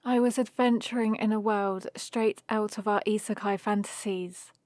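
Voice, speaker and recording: A woman's voice: mid-range voice, fairly even intonation, normal speed, darkish tone, dry recording, close to an everyday voice. A woman playing a nerdy, cheerful young heroine in an ASMR roleplay, rambling in a friendly, explaining way to the listener. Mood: excited.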